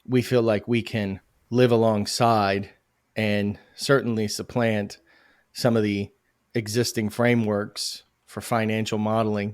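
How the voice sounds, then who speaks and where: Male guest, low voice, animated and emphatic, podcast interview, dry close sound. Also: no echo. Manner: animated, emphatic, self-correcting. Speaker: crypto project founder.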